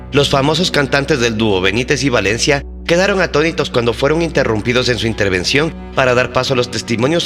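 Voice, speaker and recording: A man's medium-pitched voice rings with long reverb over faint music. He narrates a mystery story as its host, reading in a measured, suspenseful documentary style. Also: fairly bright tone.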